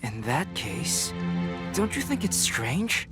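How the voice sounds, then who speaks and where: An American man playing an earnest, inquisitive teenage boy detective in a video game, talking in a measured, questioning way. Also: mid-range voice, huge pitch swings, loud music behind the voice. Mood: doubtful.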